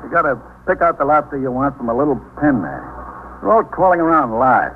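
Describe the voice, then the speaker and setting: An actor playing a chatty, brisk American police desk lieutenant, colloquial and animated, in a 1950s radio drama. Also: male voice, mid-range voice, close to an everyday voice. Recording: muffled, noisy 1950s radio recording.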